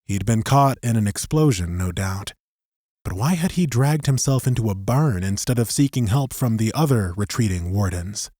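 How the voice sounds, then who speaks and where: Low-pitched male audiobook narrator. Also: animated, moderate pace, dark tone, no room sound, clean studio sound. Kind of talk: dramatic scripted narration.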